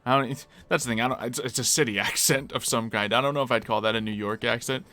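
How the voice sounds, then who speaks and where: A man's voice: mid-range voice, steady tone, fast, sharp tone, faint music behind. A man with a slight Philadelphia accent chatting casually on a live stream while he plays, musing aloud and laughing easily.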